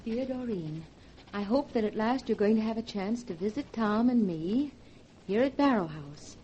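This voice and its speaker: A woman's voice: fairly high pitch, animated, moderate pace, dark tone, a little hiss or hum, close to an everyday voice, low-quality recording. An actress playing a young, well-off woman in a 1940s radio drama. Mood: sweet, apologetic. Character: young heiress and her coy, sophisticated actress half-sister. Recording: vintage 1940s radio broadcast.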